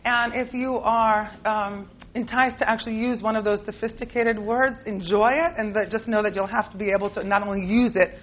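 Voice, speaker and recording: A woman's voice: mid-range voice, steady tone, brisk, hardly any pauses, lo-fi sound, slight background noise. A woman with an American accent, who grew up in Israel, teaching a class in a chatty, playful way with lots of fillers and laughs. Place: classroom with a live group.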